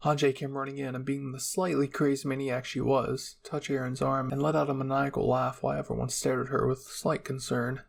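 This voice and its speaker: A man's voice: mid-range voice, level tone, fairly quick, gravelly, hardly any pauses, a little hiss or hum. A man reading an anime fan story aloud as a dramatic first-person narration. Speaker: fan fiction reader.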